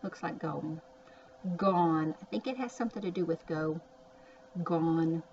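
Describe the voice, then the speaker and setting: A woman leads an early phonics lesson at a very unhurried pace, breaking words into sounds and saying them again. She adds chatty little comments as she goes. The recording is muffled and a little distant, with faint noise behind her voice. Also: sits low, a little mellow. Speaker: early reading teacher. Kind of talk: word-by-word phonics lesson for young children.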